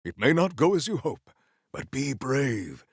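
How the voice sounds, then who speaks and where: An actor playing a proud, honorable warrior in a video game, speaking in a stately, theatrical, formal way. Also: male voice, mid-range voice, sing-song, unhurried, husky, dry recording, studio quality, full character voice. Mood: earnest, noble. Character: proud, honorable, formal warrior, adult man, earnest and grandiose. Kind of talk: video game character voice lines.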